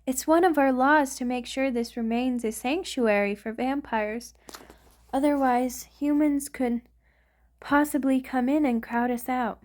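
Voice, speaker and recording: A woman playing an affectionate, refined vampire in an ASMR romantic roleplay, speaking tenderly and a little hesitantly, with gently formal wording. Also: high voice, fairly even intonation, a little slow. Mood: earnest, reassuring. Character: affectionate, refined vampire queen in love with a human.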